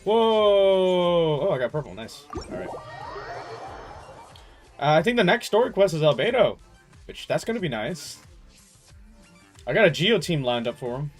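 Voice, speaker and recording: Slightly high-pitched young man streaming games. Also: sing-song, unhurried, long pauses. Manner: informal, rambling, teasing. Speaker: game livestreamer. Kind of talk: casual chat while gaming, answering viewers. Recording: game music behind the voice. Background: video game music.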